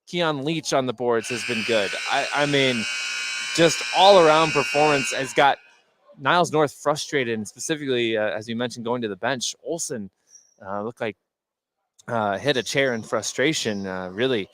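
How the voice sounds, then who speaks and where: A man's voice: mid-range voice, moderate pace. A man giving conversational color commentary at a live basketball game, repeating words for emphasis. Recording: faint gym noise behind. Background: sports gym ambience.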